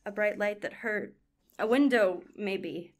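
Halting, slow-speaking blind woman played by an actress. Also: medium pitch. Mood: tentative, concentrating. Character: blind woman regaining sight, awed and fragile. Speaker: radio play actor. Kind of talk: dramatic monologue in a radio play.

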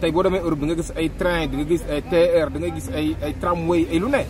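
A middle-aged man with a Senegalese accent giving his opinions in a street interview, animated and talking with his hands, recorded close on a handheld mic outdoors by a busy road. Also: a little high, fast talker, crowd noise. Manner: animated, gestures with hands, mixes two languages. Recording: street traffic noise behind.

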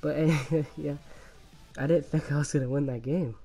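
A man with a mellow voice, a little worn from illness and a lingering cough, talks casually over his own gameplay. He stumbles and restarts his sentences often and chuckles at himself. Faint game music sits quietly behind him. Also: on the high side, fairly even intonation. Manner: halting, many restarts and fillers, laughs at himself.

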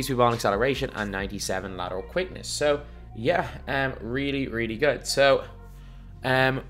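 A young man, hoarse and losing his voice, chatting loosely through his own video game play as a YouTuber, rambling and repeating himself. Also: fairly low pitch. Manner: rambling, energetic, repeats "so anyway". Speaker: gaming YouTuber. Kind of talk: casual video game commentary.

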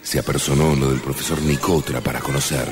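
A man's voice: rumbling low, fairly even intonation, quick pace. A man playing a solemn radio soap narrator, reading scripted narration with echo on his voice. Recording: echoing, music behind.

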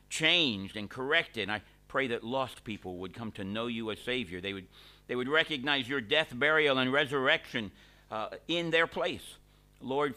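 A middle-aged man, a pastor, leading a church service from a lectern, praying and giving announcements in a relaxed, conversational way with many pauses and restarts. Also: medium pitch. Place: small church hall, at a lectern. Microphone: lectern microphone.

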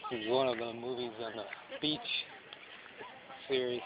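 A man's voice: medium pitch, fairly even intonation, normal speed. A man narrating his own camera footage outdoors on a beach at sunset, in a plain, matter-of-fact way. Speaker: vlogger filming an outdoor movie night. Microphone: handheld camera mic. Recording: muffled camera audio with loud outdoor noise.

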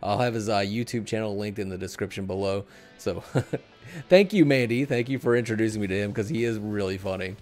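A man's voice: fairly low pitch, lively intonation, brisk, a little mellow, faint music behind. A middle-aged man hosting a casual podcast, chatting and bantering in a teasing, joking way. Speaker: podcast host. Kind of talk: casual chat, plugs and banter. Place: small room at home, indoors. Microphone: desk mic on boom arm, headset.

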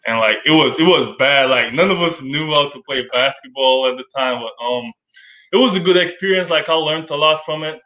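A man's voice: fairly high pitch, moderate pace, reverberant, low-quality recording. A young man from Jamaica with a Jamaican accent, chatting casually as an interview guest and filling his talk with "like". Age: young adult. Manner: relaxed, lots of "like" fillers. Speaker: college basketball player, guest.

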